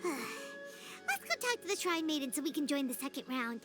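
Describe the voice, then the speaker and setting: A woman voicing a tiny, excitable, easily scared floating girl companion in a video game dub, with a squeaky, childlike voice. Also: moderate pace, over music. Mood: exasperated. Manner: exclamatory, refers to herself in third person.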